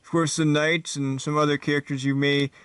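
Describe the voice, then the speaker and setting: A man's voice: on the high side, level tone, brisk, a bit bright, smooth, dry recording, natural voice. A man voicing a self-assured, casual king who chats and explains as a guest on a mock talk show. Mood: chatty. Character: pompous male king guest, cool and chatty.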